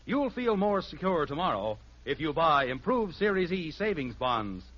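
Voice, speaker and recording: A man's voice: a little high, very animated, normal speed, dull audio. A man with an American accent announcing in a scripted 1950s radio style on an old broadcast recording.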